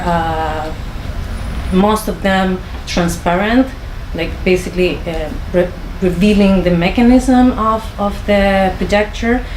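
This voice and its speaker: A woman from Chile with a Spanish accent, introducing her films to an audience in a small venue, with many pauses and restarts. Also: on the low side, echoey room, noisy background. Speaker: filmmaker introducing her screening. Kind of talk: informal talk to an audience.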